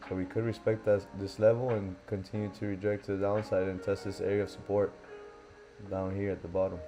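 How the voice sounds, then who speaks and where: A man's voice: on the low side, level tone, brisk. A young man from Miami hosting a live stream, talking casually and loosely, thinking aloud with lots of fillers. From Miami, USA. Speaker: livestream host, forex trader. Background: faint lo-fi background music.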